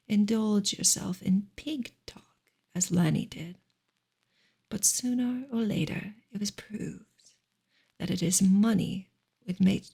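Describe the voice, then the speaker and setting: A woman reads a novel in a hushed ASMR style. Her voice is extremely breathy, mostly whispered, and heavy vocal fry crackles through it. The narration is soft and gentle, at a middling pitch for a woman. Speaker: ASMR reader.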